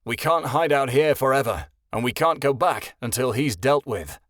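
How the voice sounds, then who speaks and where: A man voicing a gruff young husband with a snarling manner. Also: medium pitch, animated, normal speed, a bit bright, no room sound, clean studio sound.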